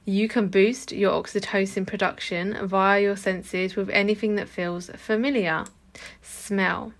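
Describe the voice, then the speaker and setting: Croaky woman, thirties, flat delivery, casual podcast host. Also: mid-range voice, measured pace. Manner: chatty, informal, swears casually. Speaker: podcast host and hypnobirthing teacher. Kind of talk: casual solo podcast talk.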